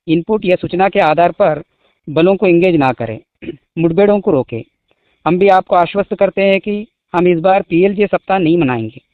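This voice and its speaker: A man reading out a formal statement as a spokesman, in measured short phrases, over a phone call. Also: sits high, no room sound. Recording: muffled phone-line call recording.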